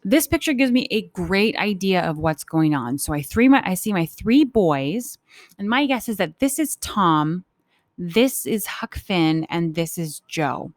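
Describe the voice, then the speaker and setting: A woman's voice: fairly low pitch, animated, measured pace, no echo, clean studio sound. A woman teacher reading a story aloud for children and explaining it informally as she goes. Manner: informal, explanatory, acts out thoughts.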